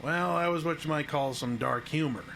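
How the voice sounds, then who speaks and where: A man's voice: on the high side, moderate pace, gravelly, fairly bright tone, slight background noise. An actor playing a plain-spoken small-town handyman in an audio drama, folksy, skeptical and matter-of-fact. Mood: folksy storytelling.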